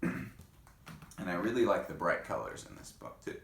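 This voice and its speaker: A man reading a picture book aloud to children, with gentle, chatty asides to young listeners. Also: low voice, expressive, measured pace, creaky, echoey room, a little hiss or hum. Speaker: read-aloud host.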